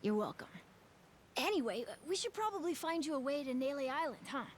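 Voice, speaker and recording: A woman's voice: high voice, sing-song, fairly quick, slight background noise. A woman voicing a plucky, curious island child in a video game, offhand and earnest with a youthful, casual delivery. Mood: casual, friendly. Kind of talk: video game cutscene acting. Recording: music clearly behind the dialogue.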